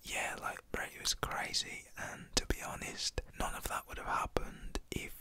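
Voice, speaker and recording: A young man telling a personal story in a casual, slang-filled, fully whispered voice, full of fillers. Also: moderate pace.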